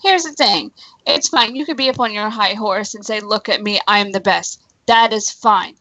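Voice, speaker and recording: A woman's voice: mid-range voice, moderate pace, bright, forceful tone, no echo, rough recording. A woman in casual conversation on a talk show, giving her opinions in an informal, emphatic way.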